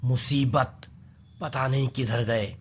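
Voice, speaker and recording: Adventure-story scientist, male, acted by a narrator: devoted, excitable, indignant, melodramatic. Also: mid-range voice, slow pace, slightly rough. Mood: absorbed, fretful. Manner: exclamatory, melodramatic. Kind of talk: dramatized audio adventure story.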